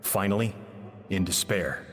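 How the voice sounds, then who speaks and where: A grave, regretful confessor. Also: male voice, deep voice. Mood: somber. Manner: solemn, measured, regretful. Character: grieving man recounting a friend's tragic downfall. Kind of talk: game cutscene voice acting. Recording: long reverb with music underneath.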